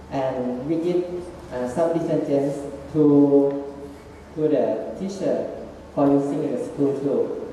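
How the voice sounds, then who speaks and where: A man walks an audience through his slides in halting, non-native English, and his grammar often breaks down. His voice is dark and mellow. He is picked up from a distance in a big, echoing hall. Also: fairly high pitch, slight background noise. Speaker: student presenter. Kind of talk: slide presentation. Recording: echoey hall, far from mic.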